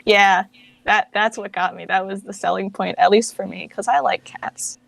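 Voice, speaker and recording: A woman's voice: mid-range voice, steady tone, moderate pace, vocal fry, fairly bright tone, no echo. A young woman in her late teens chatting casually and informally as a guest in an interview. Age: late teens, young adult. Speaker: interview guest, fan project founder.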